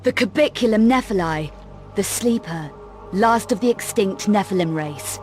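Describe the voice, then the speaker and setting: A woman's voice: mid-range voice, lively intonation, normal speed, natural voice. An actress playing a tough, cool, sardonic young female adventurer in a video game, speaking in a curt, dry, probing manner. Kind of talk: dramatic video game cut-scene acting. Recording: loud music under the voice.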